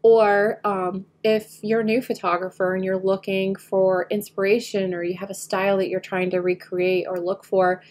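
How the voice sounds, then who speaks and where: A woman getting over a head cold, slightly nasal, talking through a photo editing tutorial as she works and often trailing off quietly. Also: mid-range voice, little pitch movement, normal speed, few pauses. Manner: thinks aloud while working, often trailing off quietly. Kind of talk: screen-recorded editing tutorial.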